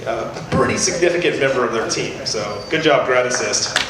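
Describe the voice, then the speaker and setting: A man's voice: medium pitch, animated, fairly quick. A man, an event organizer, making a friendly announcement to the audience. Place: university event hall. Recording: echoey hall, distant mic, crowd and noise.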